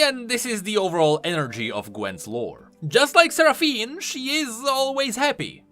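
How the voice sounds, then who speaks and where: A man's voice: fairly high pitch, pushed and bright. A man giving scripted, explanatory video commentary on game lore, stressing key words emphatically.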